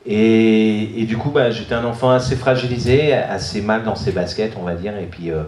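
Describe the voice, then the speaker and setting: A man's voice: lowish voice, fairly even intonation, normal speed, darkish tone, slight background noise. A man from France giving a hesitant personal testimony, with many fillers and restarts. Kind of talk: personal testimony at a church youth event. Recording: echoey room.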